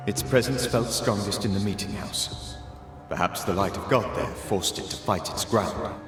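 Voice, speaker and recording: A man's voice: fairly low pitch, normal speed, close to an everyday voice. A man playing a grave, sorrowful ghost of a banisher mentor in a video game cutscene, speaking with solemn, old-fashioned formality. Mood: warning. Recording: reverberant ghostly echo, music behind.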